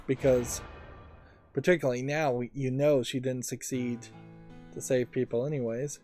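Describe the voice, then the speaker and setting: A man commenting on a game as he plays, with a soft, dark tone at a middling pitch. He thinks aloud haltingly, with faint music behind him. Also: little pitch movement. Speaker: let's play host. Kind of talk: unscripted gameplay commentary.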